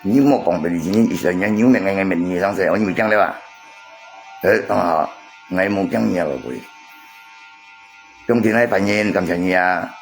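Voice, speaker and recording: A man's voice: deep voice, moderate pace, pauses often, soft background music. A man chatting casually on a livestream, repeating himself and arguing his point to viewers. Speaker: live streamer.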